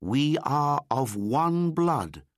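Storybook boy, bratty and high-pitched; a male narrator doing the voice. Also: lively intonation, very slow, dry recording, clean studio sound, exaggerated voice. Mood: cross. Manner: raised, bright storybook child voice. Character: young boy raised by wolves, cheeky and cross.

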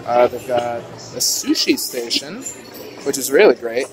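A man's voice: medium pitch, animated, measured pace, music in the background. A young man walking a buffet with a handheld phone camera, chatting casually and upbeat with many "ooh"s and "uh"s. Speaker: vlogger. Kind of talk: casual walkthrough narration. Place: large indoor buffet restaurant. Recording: noisy restaurant phone video. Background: diner chatter and clatter.

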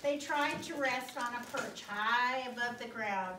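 A woman's voice: fairly high pitch, unhurried. A middle-aged woman reading a picture book to children in a classroom, expressively drawing out words and checking in with questions. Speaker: guest storyteller reading to schoolchildren. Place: indoor school classroom. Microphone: distant phone or camera across the room. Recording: roomy, distant mic, noisy classroom.

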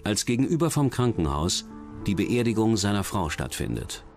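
A man narrates a true-crime documentary from a script. His voice sits on the low side, and music plays clearly underneath it. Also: very animated, normal speed.

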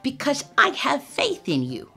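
A woman voicing a loving, wise old grandmother rabbit in a storybook, with a high, gentle, coaxing voice. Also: huge pitch swings, a little slow, vocal fry, faint music behind, full character voice.

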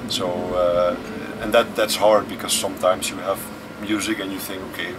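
Dutch man, thirties, low flat voice, relaxed and thoughtful, mic a bit distant, steady bus and air-con hum. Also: moderate pace. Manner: relaxed and thoughtful, frequent fillers and restarts. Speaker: musician guest. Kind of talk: casual interview answers. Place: inside a tour bus. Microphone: camera close in front. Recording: distant mic with vehicle noise. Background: air conditioning and vehicle hum.

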